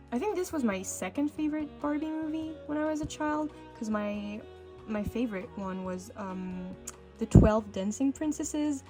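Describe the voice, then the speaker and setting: A woman's voice: a little high, mellow. Bubbly young woman with French as first language. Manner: bubbly, chatty, with many fillers and restarts. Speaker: art vlogger. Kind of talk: casual chat over crafting.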